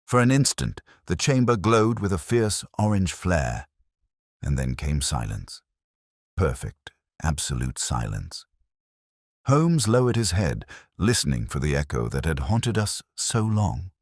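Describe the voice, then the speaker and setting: Very deep-voiced dramatic male narrator. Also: big pitch swings, unhurried, no echo, studio quality. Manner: dramatic, grave, suspenseful. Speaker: story narrator. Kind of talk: scripted first-person mystery narration.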